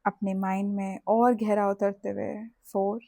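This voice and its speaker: A woman with an Indian accent leads a guided sleep meditation in a soothing, deliberate way, leaving long pauses between phrases. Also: medium pitch, little pitch movement, gravelly, warm tone, dry recording, clean studio sound. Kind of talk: guided sleep hypnosis with body scan.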